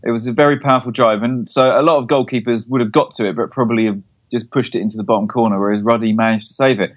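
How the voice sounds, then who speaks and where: A man's voice: medium pitch, fairly even intonation, quick pace, highs cut off. English man talking football, wordy and hesitant. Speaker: football podcast co-host. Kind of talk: casual football chat.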